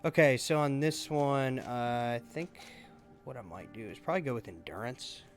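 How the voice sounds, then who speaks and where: A man giving casual, halting gameplay commentary as a YouTuber, thinking aloud as he goes. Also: medium pitch, animated, soft background music. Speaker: gaming YouTuber.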